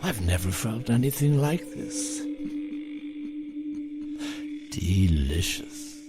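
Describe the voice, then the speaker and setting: Slow, savoring evil piper. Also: male voice, lowish voice, sing-song, over loud music. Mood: gloating ecstasy. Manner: slow, savoring, gloating delivery. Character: evil male piper, gloating, feeding greedily on stolen energy. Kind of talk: audio horror drama acting.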